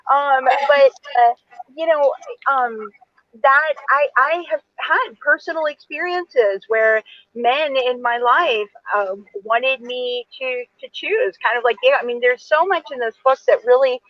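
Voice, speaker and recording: A woman's voice: sits high, unhurried, lo-fi sound. A woman in her forties, an attorney, talking earnestly and a little rambling on a video call, with lots of fillers. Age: 40s. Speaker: attorney and event moderator. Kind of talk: virtual author event conversation.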